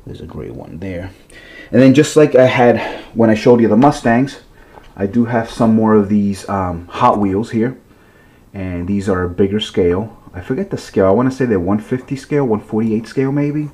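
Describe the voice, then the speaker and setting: A man with a blocked, stuffy nose talks through his toy car collection in a loose, rambling vlog. His voice sits low and mellow, and he keeps restarting his sentences. He sounds casual and chatty, recorded on a camera mic at home. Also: normal speed. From Massachusetts, USA.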